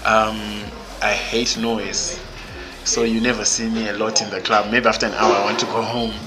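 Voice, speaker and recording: A man's voice: lowish voice, level tone, moderate pace, crowd noise. A young man from Zimbabwe, talking casually and emphatically as a guest in an interview. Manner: outspoken and emphatic. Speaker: podcast guest. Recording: music playing clearly underneath.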